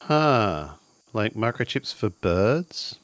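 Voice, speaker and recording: A man's voice: on the low side, big pitch swings, takes it slowly, no echo. A man playing a cheeky slacker schoolboy who casually teases his friends in an improvised tabletop game. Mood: curious. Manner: teasing, casual.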